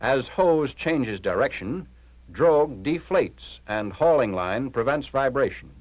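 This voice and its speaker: A man's voice: medium pitch, animated, measured pace, no echo, lo-fi sound. An American man narrating a 1940s military training film in a formal, clipped, instructional manner. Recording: noisy 1940s film soundtrack, engine-like hum.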